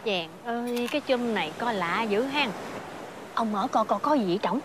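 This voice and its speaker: A charitable old country wife played by a woman in a fairy-tale film, with a Southern Vietnamese accent. She speaks gently and modestly in a slightly high voice, with noticeable ambient noise behind her. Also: animated, moderate pace, lightly acted. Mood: amazed.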